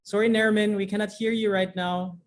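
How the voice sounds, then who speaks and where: A man's voice: high-pitched, level tone, a little fast, a little hiss or hum. A man in his forties hosting an online business meeting over a video call, politely calling on attendees one by one. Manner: friendly, methodical, calling on attendees in turn. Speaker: meeting host. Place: indoors, before a branded virtual backdrop.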